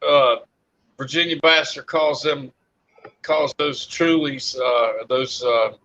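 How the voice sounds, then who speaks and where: A man's voice: mid-range voice, steady tone, takes it slowly, pushed and bright. A man in his fifties on a live stream panel, joking and teasing in casual chat, laughing often. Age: 50s. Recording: dull lo-fi stream audio.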